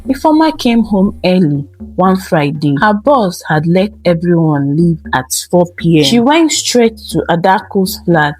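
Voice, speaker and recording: A woman tells a dramatic scripted tale at a slow, deliberate pace. Her voice is husky and sits at a middle pitch, with faint music behind it. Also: big pitch swings, a little mellow, dry recording, low-quality recording. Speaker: storyteller. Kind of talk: dramatic scripted narration of a folktale.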